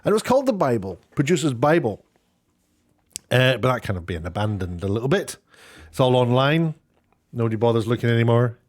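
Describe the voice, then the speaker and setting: A man giving casual, off-the-cuff commentary in a halting, rambling way, often breaking off to rephrase. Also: medium pitch, very animated, dry recording, studio quality. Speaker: online commentator, former broadcast worker.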